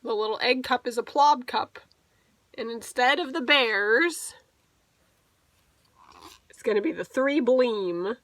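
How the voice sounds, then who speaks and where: A woman teacher reading a picture book aloud to children, playfully expressive, stretching words for emphasis and adding asides. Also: on the high side, slow, pauses often, no room sound.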